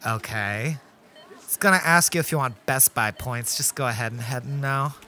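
A bright-voiced, officious store cashier. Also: male voice, mid-range voice, normal speed, a little hiss or hum. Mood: officious, chipper. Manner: rattles through scripted prompts in officious customer-service tone. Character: relentlessly chirpy, pedantic male electronics store cashier. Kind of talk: sketch comedy radio play acting.

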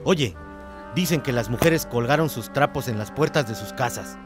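A man acting a gruff, domineering husband over music. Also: medium pitch, moderate pace, natural voice. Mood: scornful, menacing. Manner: gruff, scornful and bossy. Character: domineering, contemptuous, controlling husband in a village. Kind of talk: radio drama acting. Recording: music under the voice.

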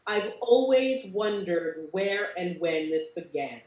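A woman's voice: lowish voice, lively intonation, slow. A middle-aged woman moderating a live online panel discussion over a video call. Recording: roomy, echoey video-call sound.

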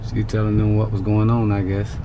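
A man in his thirties, hoarse from shouting, talking loosely to a handheld phone camera in his apartment and car, with lots of slang and "you feel me". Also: lowish voice, monotone, fairly quick, dull audio, background noise. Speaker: family vlogger. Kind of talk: casual vlog talk to camera. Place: apartment hallway indoors, then parked car. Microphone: handheld phone camera at arm's length.

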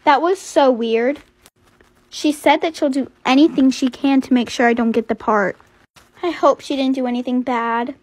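A woman as a high-voiced, put-upon teenage heroine. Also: normal speed, darkish tone, dry recording. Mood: worried. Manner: earnest, complaining.

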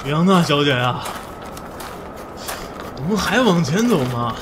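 An actor playing a wry, streetwise expedition adventurer in a radio drama, casual and coaxing. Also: male voice, on the high side, sing-song, unhurried, noisy background. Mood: reluctant. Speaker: lead actor and narrator. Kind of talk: radio drama acting and narration.

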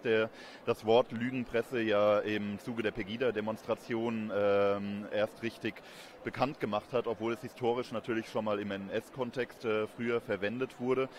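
A man in his thirties giving measured, explanatory answers as an interviewed academic expert. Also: on the low side, a little hiss or hum. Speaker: interviewed expert, communication professor. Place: busy event courtyard in daylight. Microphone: handheld reporter microphone held close. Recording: outdoor urban ambience behind voice.

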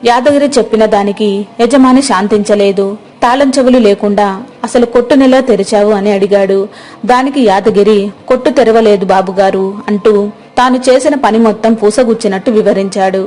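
A woman's voice: medium pitch, fairly quick, warm tone, faint music behind. A woman narrating a scripted moral story as the storyteller.

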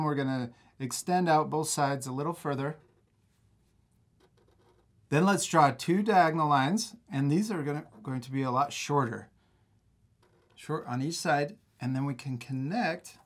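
A man in his thirties teaching a step-by-step drawing lesson for children, upbeat and encouraging. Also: on the high side. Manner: upbeat, encouraging, explains slowly. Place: art studio.

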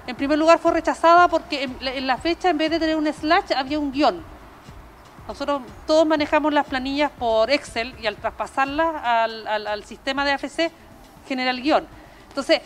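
A Chilean woman with a high voice speaks earnestly and emphatically as a business owner being interviewed. She talks spontaneously through a face mask into a handheld mic, with clear street noise around her. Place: open-sided restaurant terrace by a street. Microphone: handheld reporter microphone. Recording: outdoor street noise with traffic.

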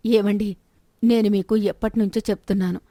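A woman plays a middle-aged merchant's wife in a moral tale. She is practical and persistent, chiding and questioning at a middling pitch. The sound is close and dry. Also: fairly even intonation, a little fast, warm tone, no room sound, lightly acted. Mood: chiding, persuasive. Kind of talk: moral story dialogue.

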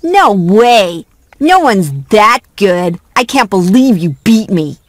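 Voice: mid-range voice, big pitch swings, takes it slowly, dry recording. An actor voicing a snobbish, obnoxious young boy rival in a game, sneering, boastful and grudging. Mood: smug, grudging. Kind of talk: scripted game cutscene dialogue.

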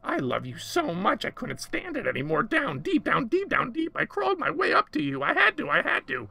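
A man's voice: very high-pitched, wildly swooping pitch, a little fast, creaky, bright, forceful tone, breathy edge, pitched above the performer's own voice, heavily acted. A man voicing a small, frantic, lovesick monster in a storybook, pleading and repeating words.

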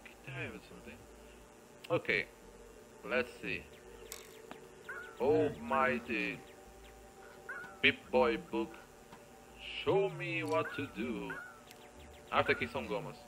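A man's voice: medium pitch, slow, creaky, pauses often, background noise. A man giving casual let's play commentary, rambling playfully and thinking aloud as he plays. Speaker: gameplay video creator.

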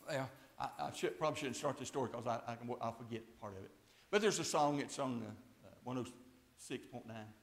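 A man in his sixties preaching a fiery sermon at a church pulpit, swinging from hushed tones to shouts. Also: mid-range voice, normal speed, vocal fry. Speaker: pastor. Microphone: lapel mic, far camera. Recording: echoey church sanctuary.

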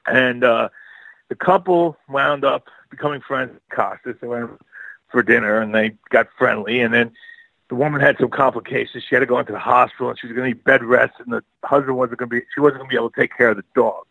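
A man's voice: mid-range voice, steady tone, quick pace, creaky, sharp tone, dry recording, rough recording. A man with a Brooklyn and Long Island accent, telling a long rambling story as a radio guest, laughing often and full of fillers. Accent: Brooklyn/Long Island New York. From New York. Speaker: guest author and filmmaker. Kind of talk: casual radio interview.

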